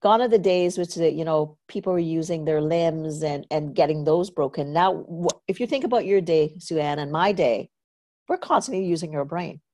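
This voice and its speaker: Low-voiced woman podcast host, chatty. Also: moderate pace, warm tone. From Trinidad and Tobago. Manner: chatty, many "you know" fillers, storytelling asides. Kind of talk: casual conversational interview.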